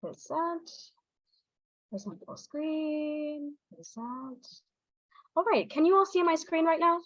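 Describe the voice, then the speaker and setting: A young woman with a Southern US accent speaks in a fairly high voice, chatty and apologetic, with plenty of ums. Her sound is dull and lo-fi, and the video call audio is bone dry. She is presenting an online teaching workshop. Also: expressive, slow, dry recording. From Southern United States. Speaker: guest speaker, English teaching assistant. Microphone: video call on phone or computer.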